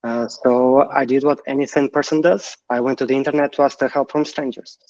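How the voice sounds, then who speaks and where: A man in his thirties answers interview questions in a halting way, often repeating his words. His voice comes through dull, lo-fi call audio that sounds dry and close. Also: mid-range voice, fairly even intonation, a little mellow, no room sound. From Portugal. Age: 30s. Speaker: game director. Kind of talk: interview guest.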